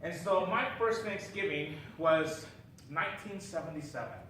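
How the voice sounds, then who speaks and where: A man from Chile giving an animated, laughing impromptu speech at a club meeting, often asking the room rhetorical questions. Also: sits high, moderate pace. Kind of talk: storytelling speech. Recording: echoey room, far from the mic, clear background noise.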